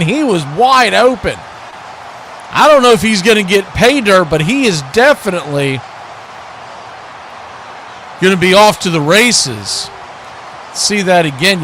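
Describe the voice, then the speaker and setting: An American man giving live play-by-play football commentary in a folksy, animated way, full of homespun exclamations and bursting into loud calls. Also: high voice, a little hiss or hum. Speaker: play-by-play sports commentator on a radio watch party.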